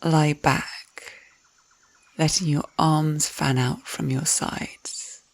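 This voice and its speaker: A woman leads a guided visualisation in a low, soft voice with a creaky edge. She speaks very slowly and soothingly, giving gentle instructions and letting phrase endings turn breathy. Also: little pitch movement, no room sound. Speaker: guided meditation narrator. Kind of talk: guided visualisation, scripted narration.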